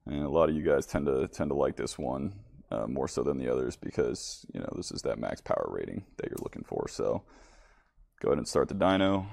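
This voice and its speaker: A man's voice: very deep voice, vocal fry, darkish tone, dry recording, clean studio sound. A man narrating a product demonstration casually, speaking informally and straight to viewers as the presenter. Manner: informal, addressing viewers directly.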